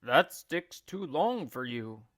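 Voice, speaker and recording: Guy doing a mean scheming husband from a kids book, taunting, emphatic, drags words out, very animated. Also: a little high, slow pace, dry recording, studio quality, heavily acted. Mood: smug. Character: gleefully cruel, bullying, scheming husband.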